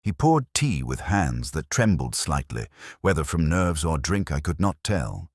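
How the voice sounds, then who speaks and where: A man reading scripted literary detective fiction as narrator, in a measured, formal and brooding manner. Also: extremely low pitch, very animated, no echo, studio quality.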